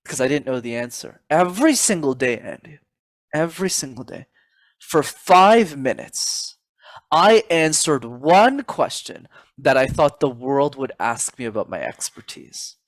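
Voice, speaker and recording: Lively motivational man, dry sound. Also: fairly high pitch, a little slow, no room sound. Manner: animated, motivational, addresses host by name. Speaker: podcast guest, public speaking coach. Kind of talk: casual interview chat.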